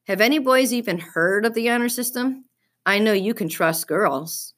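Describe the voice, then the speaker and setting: A woman's voice: medium pitch, normal speed, fairly bright tone, voice pushed up, natural voice. A woman reading a children's story, voicing a stubborn, indignant fifth-grade girl who is snippy and haughty. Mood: challenging.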